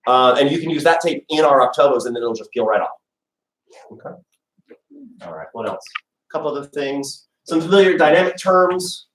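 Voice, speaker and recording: A man's voice: fairly high pitch, animated, brisk. Casual man hosting a workshop. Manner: casual, many fillers. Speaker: artistic director, music reading teacher. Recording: echoey room, mic far off.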